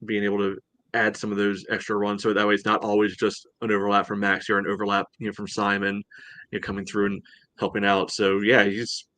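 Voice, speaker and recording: A man with a low voice and little melodic movement talks through a soccer match as a podcast co-host. He rambles casually, leaning often on "you know". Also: monotone, quick pace, sharp tone, no room sound.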